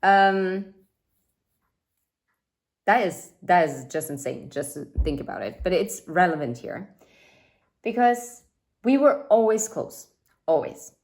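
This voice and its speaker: Woman, 30s, lowish voice, unhurried and chatty, tarot reader thinking out loud. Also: lively intonation. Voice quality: says she has a loud voice. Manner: chatty, thinks aloud with fillers and asides. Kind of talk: casual tarot reading monologue.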